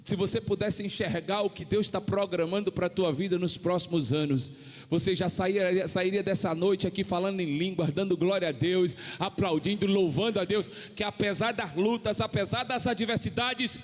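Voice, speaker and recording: A Brazilian pastor in his forties, preaching a sermon to his church with impassioned, drawn-out emphatic phrases. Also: sits high, steady tone. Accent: Brazilian Portuguese. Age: 40s. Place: church hall. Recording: long hall reverb.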